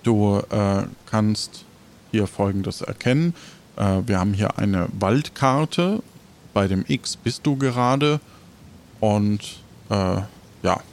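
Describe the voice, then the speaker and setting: A man's voice: on the low side, slow, darkish tone. A man acting as game master, improvising the narration of an audio adventure game and answering a player.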